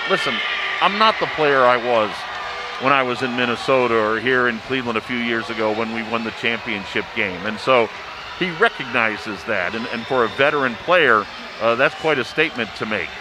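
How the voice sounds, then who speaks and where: A man who works as a play-by-play announcer, talking in a measured, explanatory way in a casual sports panel discussion. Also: medium pitch. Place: large indoor arena. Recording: noisy arena ambience behind.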